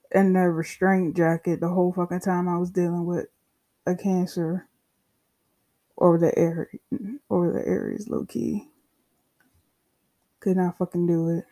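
A young podcast host in their twenties, talking alone in a loose, unscripted way. The delivery is slow and unhurried, the tone mellow and dark, candid and profane with frequent asides. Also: mid-range voice, little pitch movement. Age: 20s. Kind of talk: casual solo monologue.

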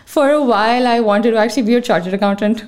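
A woman's voice: a little high, fast talker, darkish tone. A young woman from Lahore, a psychotherapist guest who reflects and explains at length. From Lahore, Pakistan. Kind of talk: casual interview, mixing English and Urdu.

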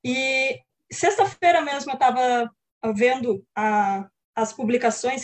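A young woman informally explaining research in an online panel, often checking in with little tag questions. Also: sits high. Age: young adult. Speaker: academic researcher, guest presenter.

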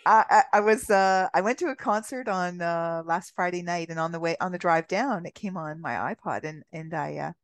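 Low-voiced laughing woman guest. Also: normal speed, hardly any pauses, no echo. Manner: laughs often, rambling and reflective. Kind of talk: casual podcast conversation.

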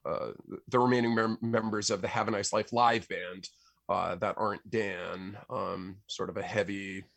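Low-voiced man, halting and rambling. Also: fairly even intonation, gravelly, fairly bright tone, no echo. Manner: rambling, halting, stammering over words. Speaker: musician, podcast guest. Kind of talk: casual chat about own projects.

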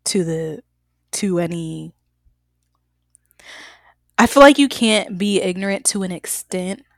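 A woman's voice: fairly low pitch, slow, dry recording, studio quality. A young woman from the American South co-hosting a podcast, telling stories candidly and with animation. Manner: candid, animated storytelling with bursts of laughter. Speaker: podcast co-host. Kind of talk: casual chat.

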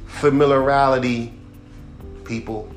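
Male podcast host, 30s, rapper; blunt profane rant, self-described monotone delivery, faint music bed. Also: medium pitch, slow. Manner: blunt, heated, heavy swearing.

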